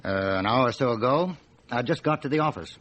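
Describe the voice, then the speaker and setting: A man's voice: mid-range voice, animated, a little fast, a bit bright. A local police inspector in a radio drama, with a mid-range voice. He is obliging and talkative, laying out details like a guide with slight hesitation. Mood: obliging, explanatory.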